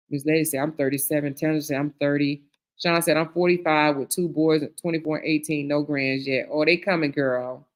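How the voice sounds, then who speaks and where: A middle-aged woman hosting a live stream, reading viewer comments aloud and reacting in a chatty way, calling people girl, honey and child. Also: deep voice. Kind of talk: casual chat.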